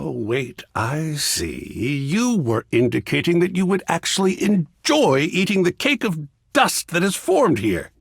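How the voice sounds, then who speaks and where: A man's voice: fairly high pitch, gravelly, breathy edge, no room sound, clean studio sound, heavily acted. A man playing a flamboyant, cultured art curator in a video game, effusive and fussy, with grand theatrical phrasing. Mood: fussy, dramatic. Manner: grandiloquent, dramatic drawn-out phrasing, swooping exclamations. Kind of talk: video game character voice lines.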